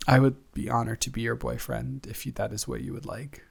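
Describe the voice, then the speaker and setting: A man plays a devoted young roommate in an intimate boyfriend audio drama. He talks in a medium-pitched male voice, halting and rambling as he goes. His manner is affectionate and teasing, easily flustered and very conversational. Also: flat delivery, creaky, husky. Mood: tender, hopeful. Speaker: audio roleplay performer.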